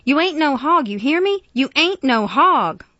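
A woman voicing a big, grieving elderly godmother with a rural Southern US accent, pleading emphatically in drawn-out words. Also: medium pitch, lively intonation, no echo, dull audio, natural voice. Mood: fierce pleading.

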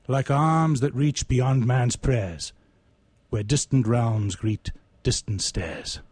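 A man's voice: medium pitch, slow, a little mellow, slightly rough, dry recording. A man who is a poet and co-host, talking and reciting in a reflective, theatrical manner with florid wording. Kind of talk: casual chat and recited poetry.

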